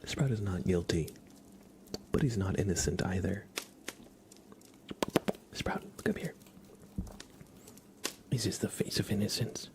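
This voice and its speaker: A man hosting an ASMR livestream in a gentle, hushed voice that often drops into a whisper, close to the microphone. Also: deep voice, expressive, a little slow, long pauses, noisy background. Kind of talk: ASMR, soft-spoken and whispered livestream chat.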